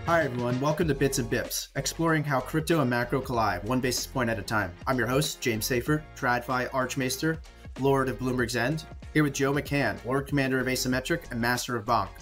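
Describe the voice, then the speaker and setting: A man's voice: mid-range voice, a little fast, fairly bright tone. Male podcast host, mid-range voice, informal and jokey, faint music underneath. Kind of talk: casual podcast hosting.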